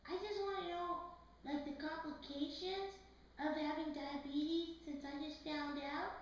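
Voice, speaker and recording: A woman's voice: sits high, steady tone, unhurried, dull audio, slight background noise. A woman voicing a confused, worried puppet patient in an educational show, with a hesitant, plaintive, slangy way of talking. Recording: very echoey room, far from mic.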